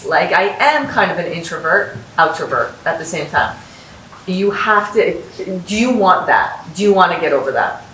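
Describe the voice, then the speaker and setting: A woman's voice: on the low side, fairly bright tone. A woman hosting a casual podcast, giving upbeat, encouraging advice to students. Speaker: host and company founder. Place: house in North Las Vegas, room with echo. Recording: roomy echo, distant mic, faint hiss.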